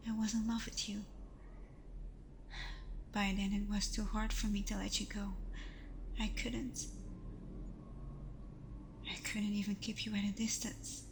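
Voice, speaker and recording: Vampire girlfriend, ASMR roleplay, female performer: hushed, breathy, tender but scolding, very unhurried, with audible background noise. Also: medium pitch, natural voice. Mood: tender, regretful. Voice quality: soft, breathy half-whisper. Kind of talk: ASMR roleplay, close intimate acting.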